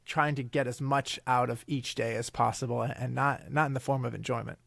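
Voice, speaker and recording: A man in his thirties, from Italy and a native Italian speaker, chats as a podcast guest. His voice is gravelly with a bit of fry, and he pauses, restarts and rambles as he thinks aloud. The sound is dry and close. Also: mid-range voice, fairly even intonation, fairly bright tone, no room sound. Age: 30s. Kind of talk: casual interview chat.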